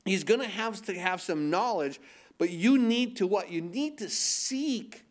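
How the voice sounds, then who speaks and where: A man's voice: high-pitched, animated, measured pace. A man with a nasal voice preaching a sermon as pastor, talking conversationally and throwing in rhetorical questions.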